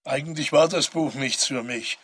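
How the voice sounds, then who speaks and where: An actor playing an introspective, haunted man's inner voice in a measured, confiding audio drama monologue. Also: mid-range voice, slightly rough, natural voice.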